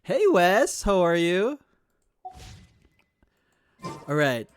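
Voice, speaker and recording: A man chatting casually on a gaming livestream in an informal, excitable way, often repeating his phrases. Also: high-pitched, drawn-out words, clear, resonant tone, soft background music. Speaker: game streamer.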